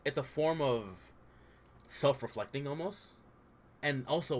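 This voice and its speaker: A man's voice: mid-range voice, fairly bright tone, slight background noise. A young man who stutters at times, talking candidly in a loose, informal way and saying "bro" and "like" over and over, as a streamer and dungeon master. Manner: informal, says "bro" and "like" a lot. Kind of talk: casual heartfelt rant on stream.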